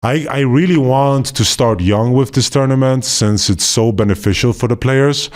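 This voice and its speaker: A man from Sweden, co-hosting a podcast, explaining his plans in a casual, upbeat and fast-talking way. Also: mid-range voice, a little mellow. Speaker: podcast co-host.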